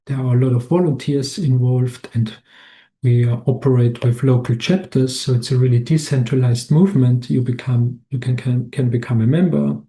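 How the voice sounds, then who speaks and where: Halting Austrian-born man giving a webinar. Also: mid-range voice, warm tone. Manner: halting, many restarts and fillers. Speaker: webinar presenter. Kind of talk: slide presentation talk.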